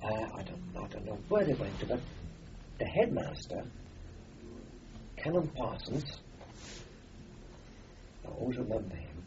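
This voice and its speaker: A man's voice: on the low side, very animated, unhurried, long pauses, noisy background. An older man from Austria, a native German speaker, telling a rambling oral-history story as an interviewee, with many fillers and restarts. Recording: dull lo-fi recording.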